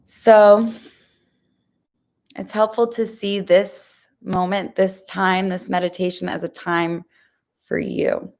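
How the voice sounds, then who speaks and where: A woman leading a guided mindfulness meditation, speaking to listeners in a gentle, reassuring, informal way. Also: mid-range voice, level tone, slow pace, creaky, pauses often.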